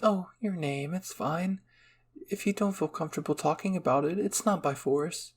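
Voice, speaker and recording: A man voicing a kind, caring young woman soldier in a story roleplay, speaking gently and with sympathy. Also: a little high, normal speed, a little hiss or hum, close to an everyday voice. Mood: sympathetic. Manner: gentle, sympathetic, soft-spoken.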